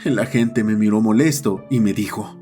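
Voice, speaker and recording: A man's voice: lowish voice, normal speed, mellow, faint music behind. A man reading a scripted horror story as its narrator.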